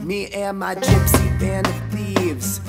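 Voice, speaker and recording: A woman's voice: medium pitch, little pitch movement, takes it slowly. A woman singing to a plucked guitar accompaniment. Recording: loud music throughout.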